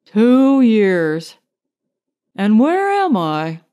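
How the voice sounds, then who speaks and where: A weary, lonely man of the city, as a woman narrator plays him, speaks slowly in a storybook reading. He is curt and cynical, with bitterness in his words. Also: on the high side, big pitch swings, mellow, dull audio, exaggerated voice. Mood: bitter.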